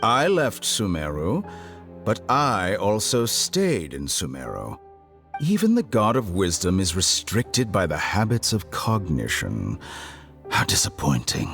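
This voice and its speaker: An actor playing a condescending mad scientist villain in a video game, coldly amused, theatrical and deliberate, with mock courtesy. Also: male voice, low-pitched, wildly swooping pitch, gravelly, a bit bright, faint music behind, exaggerated voice. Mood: smug, calm. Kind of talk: English video game voice acting.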